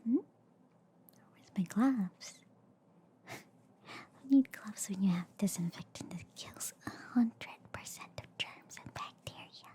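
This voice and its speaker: Woman as alien girl doctor for ASMR roleplay: very mellow breathy whisper, slow, reassuring, slightly shady. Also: mid-range voice. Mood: soothing, reassuring. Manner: soothing, hesitant, repeats reassurances softly. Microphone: close ASMR microphone. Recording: faint background noise, close-up ASMR.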